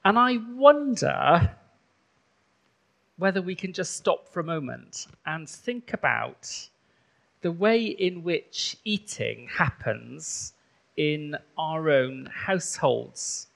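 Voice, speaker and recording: A man's voice: on the high side, big pitch swings, slow, vocal fry. A man from rural Wales, a bishop whose first language is Welsh, giving a chatty sermon in a church with many ums. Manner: warm, conversational preaching with frequent ums.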